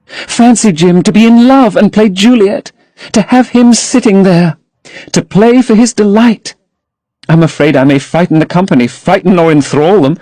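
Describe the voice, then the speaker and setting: Man voicing young actress, infatuated, gushing, high lilting voice, dry sound. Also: normal speed, warm tone, no room sound, lightly acted. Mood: rapturous. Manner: rapturous, gushing.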